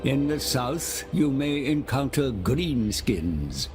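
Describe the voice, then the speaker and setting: A man playing a sly, servile seer who guides demonic warlords, speaking in a theatrical, gravelly voice as dramatic dark fantasy game narration. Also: mid-range voice. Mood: ominous, urging slaughter. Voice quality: creaky, gravelly. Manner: theatrical, deliberate, sly and flattering. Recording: music under the voice. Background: dramatic music.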